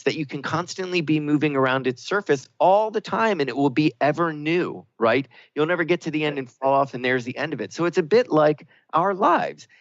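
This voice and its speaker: A man's voice: on the high side, no room sound. A man co-hosting a reflective spiritual talk show, explaining ideas in an earnest way. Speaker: radio show co-host. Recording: slightly dull, lo-fi radio audio.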